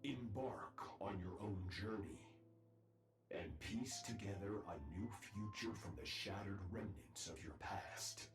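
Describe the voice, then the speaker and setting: An actor playing a stern, ancient warrior master in a video game, speaking solemnly and with menace. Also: male voice, on the low side. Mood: solemn, commanding. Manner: solemn, deliberate, menacing speech. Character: ominous, stern male warrior master, ancient higher being. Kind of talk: dramatic video game voice acting. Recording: echoing voice over game music.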